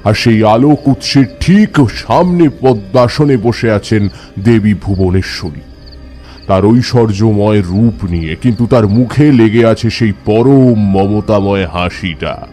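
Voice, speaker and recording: Horror audio story lead: actor as devout tantric man, warm dark timbre, intense first-person narration, music bed. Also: lowish voice, slow. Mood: awed, suspenseful. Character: devout male tantric ascetic recounting his eerie encounters. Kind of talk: dramatic scripted horror narration.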